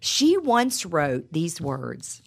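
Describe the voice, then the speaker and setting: A middle-aged woman shares faith stories in a loose, conversational way, often tripping over her words and leaning on "you know". Her voice is lowish and froggy from a cold, with a gravelly edge, and her pitch swings widely. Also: big pitch swings, extremely slow. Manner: conversational, with frequent stammered repeats and "you know". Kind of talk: devotional talk with personal stories.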